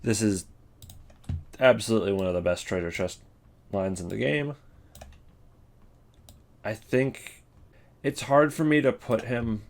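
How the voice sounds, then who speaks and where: A man's voice: on the low side, steady tone, measured pace, gravelly, long pauses. A man giving casual, off-the-cuff commentary as the host of a ranking video.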